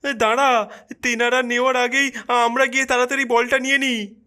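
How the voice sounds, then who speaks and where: A man's voice: very high-pitched, flat delivery, brisk. A man voicing a timid, easily frightened young village boy with urgent exclamations in a Bangla cartoon. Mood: wary. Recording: loud music behind the voice. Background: loud music.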